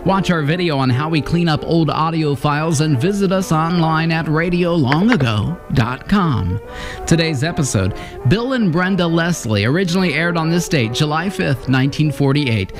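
A man's voice: on the high side, animated, normal speed. A man in his fifties hosting a retro radio show, reading an upbeat scripted introduction into a studio mic. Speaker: host. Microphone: studio mic on arm. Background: music.

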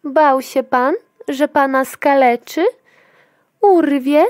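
An actress playing a brusque, officious clinic attendant in a dystopian future audio drama, clinical and commanding. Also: female voice, sits high, fairly even intonation, takes it slowly, dry recording, clean studio sound. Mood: mocking, scolding.

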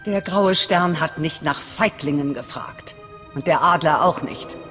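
A woman's voice: deep voice, unhurried, a bit bright. A woman playing a hard, distrustful resistance fighter in a video game, speaking in a blunt, commanding, menacing way. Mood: challenging. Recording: long reverb, faint music behind.

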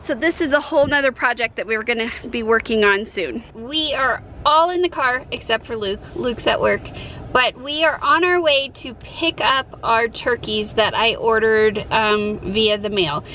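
Bright, high-voiced American woman vlogger. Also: normal speed, a little hiss or hum. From Colorado, United States. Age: middle-aged. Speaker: homesteading vlogger and host. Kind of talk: casual vlog chat to camera. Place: outdoors in a yard, later in a parked or moving car. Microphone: camera at arm's length.

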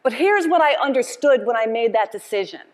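A woman's voice: a little high, lively intonation, brisk, in a large hall. A middle-aged woman speaking passionately and emphatically in a motivational keynote, repeating phrases like a preacher. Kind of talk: motivational keynote address with call and response. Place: conference stage, indoors, before an audience.

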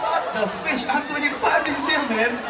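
A young man with a Singaporean accent, a club DJ shouting jokes and calls to the crowd from the stage. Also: extremely high pitch, normal speed. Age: 20s. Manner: shouting, joking, crowd call-and-response. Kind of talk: hyping up a nightclub crowd. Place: packed nightclub stage. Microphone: handheld mic on stage, filmed from far in crowd. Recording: echoey club from far off, loud crowd noise.

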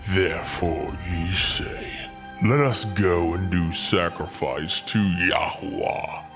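An actor playing a stern, scornful, domineering king with slow, weighty delivery in a dramatized scripture reading. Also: sits low. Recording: music behind the voice.

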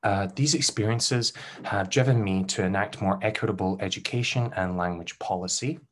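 A young Scottish man giving a recorded conference talk over a webcam, measured and careful, with frequent um fillers. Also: lowish voice. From Scotland. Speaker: doctoral researcher presenting.

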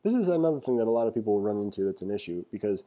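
Deep, mellow-voiced man teaching casually. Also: big pitch swings, rapid-fire. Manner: informal, thinking aloud. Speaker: programming instructor. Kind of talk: casual read-along tutorial, reading aloud and commenting. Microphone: screen-recording voice-over.

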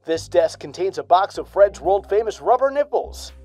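A man doing a bold, earnest radio-serial hero who pronounces every line with square-jawed conviction. The voice sits a bit high for a man, with soft music far behind. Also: big pitch swings, moderate pace. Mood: earnest heroic. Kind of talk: parody of old-time radio serial.

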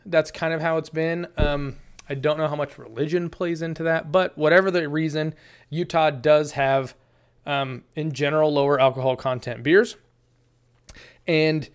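A man in his thirties reviewing a beer from his desk in a casual, rambling way, full of ums and restarts. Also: a little high. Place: small room, indoors, green screen. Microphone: desk camera, framed from the chest up.

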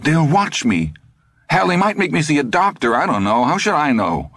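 A man voicing a scared, angry teenage boy who talks fast and defiantly. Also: on the high side, sing-song, a bit bright, no room sound, studio quality, pitched above the performer's own voice, exaggerated voice. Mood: agitated.

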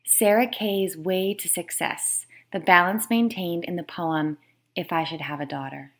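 A woman's voice: on the low side, fairly even intonation, a little slow. A woman who is an author, reading her own book aloud as scripted narration.

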